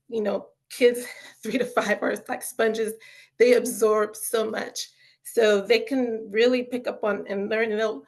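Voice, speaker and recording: Woman, educator on a video call, casual, enthusiastic, filler-heavy, a little high, raspy fry. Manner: chatty, lots of fillers like "you know" and "um"; enthusiastic about children's learning. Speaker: author and educator presenting a webinar. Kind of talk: casual webinar presentation.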